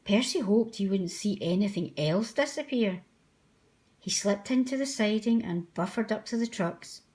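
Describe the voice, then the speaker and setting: A woman's voice: mid-range voice, expressive, moderate pace, darkish tone. A woman narrating a children's storybook aloud. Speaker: storybook reader.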